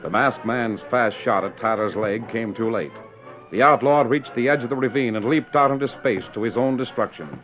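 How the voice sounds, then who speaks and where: A man's voice: medium pitch, normal speed, music in the background. A man narrating a 1940s radio western in a formal, dramatic storytelling manner. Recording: muffled 1940s radio recording.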